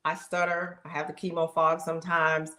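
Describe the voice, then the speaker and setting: A middle-aged woman streaming live, telling viewers her own story in a casual, animated way and talking straight to them. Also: low-pitched, normal speed, reverberant. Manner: animated, talks straight to the audience, exclaims, laughs. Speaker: live streamer sharing her health journey.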